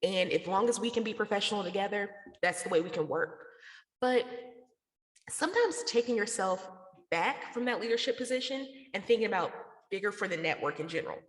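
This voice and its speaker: A woman's voice: medium pitch, lively intonation, a little fast. An American woman from the South talking casually and slangily as a guest in a radio interview. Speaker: interview guest, podcast network founder. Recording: echoey, reverberant room.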